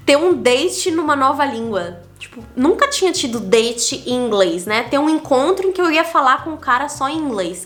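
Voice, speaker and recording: High-voiced Brazilian woman vlogging. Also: a bit bright. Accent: Brazilian Portuguese. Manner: candid, counts off numbered lessons. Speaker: digital nomad vlogger. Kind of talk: casual reflective vlog monologue.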